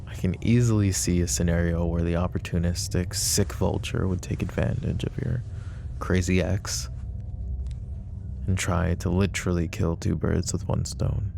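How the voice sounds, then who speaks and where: A man playing a protective, possessive mafia boss in an intimate roleplay ASMR, speaking deliberately and broodingly straight to the listener. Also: sits low, gravelly, mellow, heavily acted. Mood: bitter, brooding.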